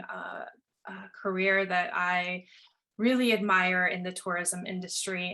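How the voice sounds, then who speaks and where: Bright-voiced woman hosting, fairly even pitch. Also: creaky. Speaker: podcast host. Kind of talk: conversational interview.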